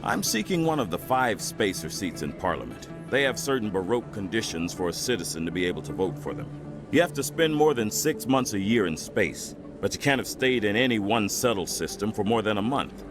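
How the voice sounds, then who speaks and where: A polished nationalist politician in a video game. His lowish voice moves around a lot as he makes his case with oratorical, polite insistence. Music plays clearly behind him. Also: very animated, normal speed. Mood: assured, argumentative. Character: male nationalist politician, eager, polished, stubbornly anti-alien. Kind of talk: video game dramatic dialogue.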